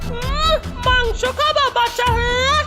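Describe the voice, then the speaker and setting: A woman's voice: very high voice, fairly even intonation, measured pace. A woman voicing a greedy, menacing old witch in a cartoon, with a theatrical manner. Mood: sly menace. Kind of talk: cartoon voice acting. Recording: loud music and crowd noise behind.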